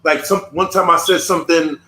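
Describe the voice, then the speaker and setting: Man, casual discussion, halting, repeats words, bright voice, echoey room. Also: fairly high pitch, fairly even intonation, slight background noise. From United States.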